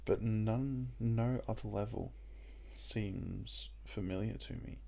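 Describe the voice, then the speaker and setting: A young man with an Australian accent talks through a puzzle game in a relaxed, meandering way, full of ums and asides. His voice is low and croaky, with heavy vocal fry and a husky edge. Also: takes it slowly. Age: young adult. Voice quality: croaky, gravelly voice. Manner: rambling and relaxed, with many ums. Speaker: gaming YouTuber. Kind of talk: casual let's-play commentary.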